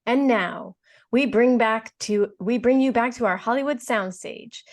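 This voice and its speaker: A female radio announcer with a fairly high voice. She delivers her lines formally and theatrically, in the manner of an old-time broadcast. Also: a little slow.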